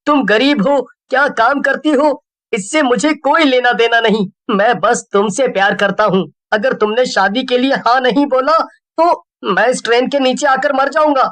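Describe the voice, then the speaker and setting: A woman plays a scheming young husband in a cartoon tale. The voice is high, earnest and deliberate. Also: close to an everyday voice. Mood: pleading ardent. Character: deceitful young husband, earnest-sounding suitor, selfish. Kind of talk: cartoon story acting.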